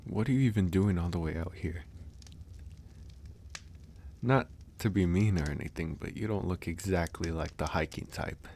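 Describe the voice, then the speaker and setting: A man playing a gentle, reassuring young werewolf who lives alone on a mountain, soft-spoken in an ASMR roleplay. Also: sits low, normal speed, long pauses, a little hiss or hum. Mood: gently chiding.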